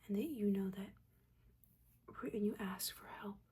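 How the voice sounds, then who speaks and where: A woman voicing a caring, judging witch mentor in a soft, breathy whisper, gently and haltingly giving guidance in an ASMR roleplay. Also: medium pitch, steady tone. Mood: solemn, tender. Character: older witch mentor, motherly, judging yet caring teacher.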